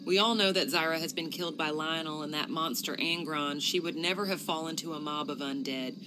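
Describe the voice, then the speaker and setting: A woman's voice: low voice, a little fast, over music, close to an everyday voice, rough recording. A woman playing a hot-tempered, bitter elf archer in a fantasy game cutscene. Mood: furious, accusing. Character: furious, bitter female elf archer inquisitor. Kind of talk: scripted video game cutscene acting.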